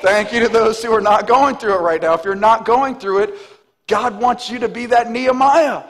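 A man in his thirties, a pastor, preaching an animated sermon on a church stage. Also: high-pitched, normal speed. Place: large church stage, indoors. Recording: long hall reverb.

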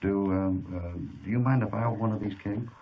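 A man plays a calm American cowboy hero in a vintage radio western. His voice is deep, his manner easygoing and sure. He sounds slightly away from the mic, with light noise on the old recording. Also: fairly quick, far from the mic, phone-quality sound, close to an everyday voice. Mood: calm, probing. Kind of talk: 1950s western radio acting.

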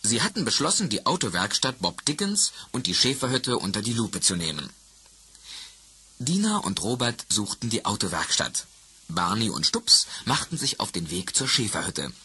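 Radio play narrator, low-ish voice, bright tone, lively intonation, clear storybook delivery. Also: male voice, normal speed, slight background noise, full character voice. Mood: calm. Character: adult male narrator of a children's detective radio play. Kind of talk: scripted storybook narration for children.

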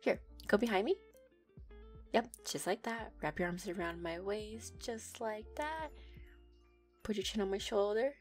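A woman's voice: medium pitch, unhurried, long pauses, faint music behind, natural voice. A young woman voicing a playful, affectionate neko girlfriend in an audio roleplay, giggly and teasing as she talks.

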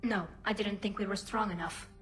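An actress voicing a young female alien engineer in a video game cutscene, speaking softly in an earnest, loyal manner. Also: medium pitch, fairly even intonation, fairly quick, soft background music, lightly acted.

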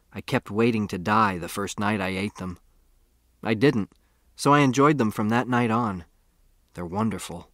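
Expressive American man narrating, dry sound. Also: mid-range voice, dry recording. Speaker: audiobook narrator. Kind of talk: scripted first-person novel narration.

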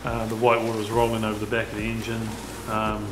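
A young man with a New Zealand accent, chatting casually about a fishing trip, relaxed and full of fillers. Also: lowish voice, fast. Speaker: fisherman. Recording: roomy sound with music behind.

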